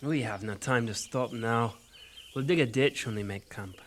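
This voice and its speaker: An actor playing a stern, terse general who speaks curtly and commandingly. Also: male voice, medium pitch, normal speed, fairly bright tone, a little hiss or hum, lightly acted. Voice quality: curt, commanding. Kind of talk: audio drama acting.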